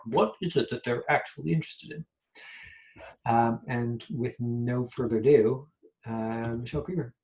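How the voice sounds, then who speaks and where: A man with a mid-pitched voice hosts an online class. He speaks casually as a teacher, rambling a bit and haltingly working through his points. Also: darkish tone, low-quality recording. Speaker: host and acting teacher introducing a guest.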